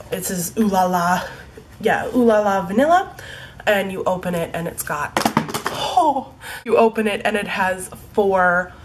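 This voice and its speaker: A woman's voice: lowish voice, slight background noise. A young woman with a stuffy, congested voice from a cold, chatting casually about her favorite products, full of likes and ums. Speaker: beauty vlogger. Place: home room in fading daylight.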